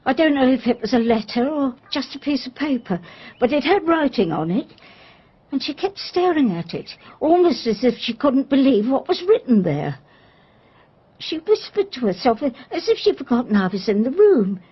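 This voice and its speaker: High, husky, flustered English housekeeper. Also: female voice, normal speed, muffled recording, exaggerated voice. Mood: confiding. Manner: deferential, flustered, animated. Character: loyal, deferential, gossipy English female housekeeper. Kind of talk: radio drama acting.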